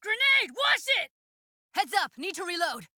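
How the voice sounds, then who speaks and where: A woman's voice: extremely high pitch, sing-song, normal speed, pushed and bright, no echo, clean studio sound, exaggerated voice. An actress playing a tough, candid young woman technician in a video game, talking in a casual, slangy, blunt way. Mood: combat, shouting. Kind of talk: naturalistic game voice acting.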